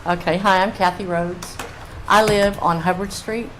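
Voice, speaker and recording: A woman's voice: mid-range voice, steady tone, a little slow, fairly bright tone, rough recording. An older woman giving informal public testimony as a resident. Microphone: public comment microphone. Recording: faint room noise on meeting mic.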